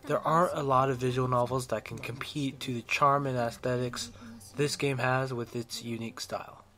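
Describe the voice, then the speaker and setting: A man's voice: medium pitch, fairly even intonation, normal speed, sharp tone. A man reading a scripted video game review as an enthusiastic, opinionated fan.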